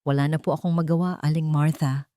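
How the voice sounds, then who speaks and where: A woman's voice: low-pitched, fairly even intonation, fast, dark tone, no room sound, natural voice. Casting: narrator as heartbroken young woman, humble, tearful, begging, audio melodrama heroine, dry recording. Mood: tearful guilt. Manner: pleading, tearful. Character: desperate, pleading, guilt-ridden young woman.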